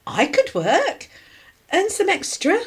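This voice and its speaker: A woman's voice: very high voice, slow, full character voice. Woman acting sarky put-upon mum in a radio play, wry, voice swings up and down a lot. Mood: tentative, hopeful. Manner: expressive, wry, retorting. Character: put-upon, sarcastic working-class wife and mother.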